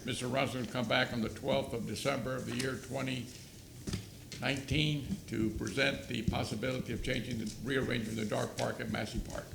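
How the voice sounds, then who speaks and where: A man's voice: medium pitch, steady tone, fairly quick, vocal fry. A man serving as a city councilman, joking with a speaker and making motions at a council meeting. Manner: joking, switches into Spanish asides. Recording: echoey meeting chamber with noise.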